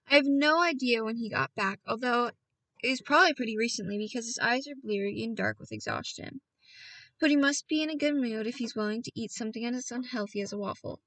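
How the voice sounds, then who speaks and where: A woman's voice: a little high, fairly bright tone, dry recording, recorded from a distance, poor audio. A woman reading a novel aloud as a casual audiobook, chatty and playful, often stopping to talk to her listeners. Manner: chatty, self-interrupting, playful with voices. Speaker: audiobook reader.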